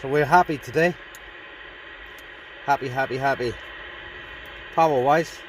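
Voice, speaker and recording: A man's voice: medium pitch, unhurried. A man with a British accent giving casual, informal running commentary while setting up radio gear. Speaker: CB radio hobbyist vlogger. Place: on a windy hilltop by a vehicle. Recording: windy, noisy handheld outdoor recording. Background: wind and radio noise.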